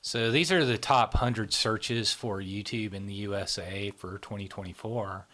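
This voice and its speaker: A man with a fairly low voice hosts a relaxed interview. He keeps his guest going with frequent affirmations and picks up energy when he presents figures and topics. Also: a little slow. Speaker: interviewer and host. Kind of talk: casual interview, running through a topic list.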